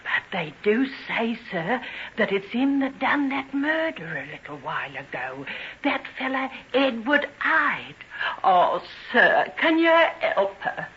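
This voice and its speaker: A muffled old radio recording of a humble, distressed mother. Her voice is bright and swoops about, deferential and pleading in a plain working-class manner. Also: on the high side, very animated, unhurried. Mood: pleading, upset. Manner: deferential, tearful, folksy wording. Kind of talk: old-time radio drama acting. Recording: dull vintage radio recording.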